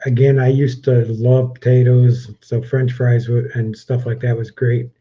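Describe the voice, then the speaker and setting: A man in his fifties talks in a flat, steady, mid-pitched voice on a casual livestream. He pauses and restarts with ums. The audio is dull and lo-fi. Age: 50s. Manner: even, measured, restarts with ums. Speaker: co-host of a livestream. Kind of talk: casual question-game chat.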